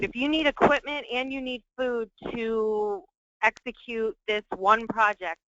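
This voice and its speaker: A woman with a sharp, bright voice explains things as a staffer on a conference call. The sound is dry and somewhat muffled, like low-fi call audio. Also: no echo. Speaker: grant program staff member. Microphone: conference call line.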